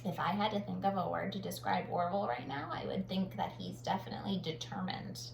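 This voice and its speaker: A woman reading a children's picture book aloud like a teacher, dramatic on sound words and pausing to comment. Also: mid-range voice, creaky. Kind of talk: expressive children's read-aloud with explanatory asides. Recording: roomy echo, faint background noise.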